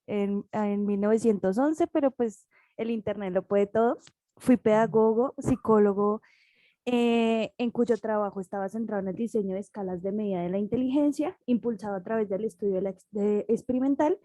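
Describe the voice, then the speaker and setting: A young woman playing a French psychologist in a classroom role-play, reading her notes with pauses and self-corrections. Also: mid-range voice, mellow, no room sound, close to an everyday voice. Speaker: student presenting.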